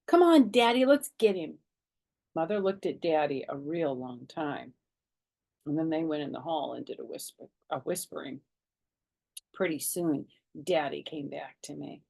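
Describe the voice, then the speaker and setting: A woman's voice: sits low, normal speed, vocal fry, slightly rough. A woman reading a children's chapter book aloud as a storyteller, expressively acting out the characters. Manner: animated, acts out the characters.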